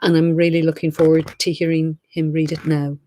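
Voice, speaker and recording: A woman's voice: deep voice, little pitch movement, a little fast, mellow. A woman giving an informal spoken introduction, a little hesitant as she gathers her thoughts. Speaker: anthology editor introducing a reader.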